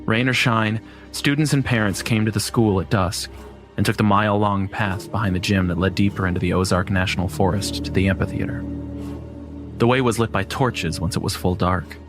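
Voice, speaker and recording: A man's voice: fairly low pitch, fairly quick, over music. A man narrating a scripted first-person horror story.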